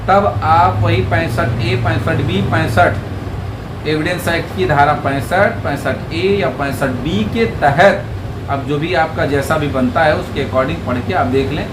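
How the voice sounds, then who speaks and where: High-pitched man explaining law, echoey noisy room. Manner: conversational, rhetorical questions, addresses viewers directly. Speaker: legal advisor presenting a video. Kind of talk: informal explainer talk to viewers. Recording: echoey room with clear background noise.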